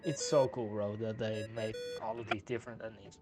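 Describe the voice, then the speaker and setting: A man's voice: lowish voice, steady tone, a little fast. A man giving casual game reaction commentary as a streamer, musing aloud informally. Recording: game music loud behind.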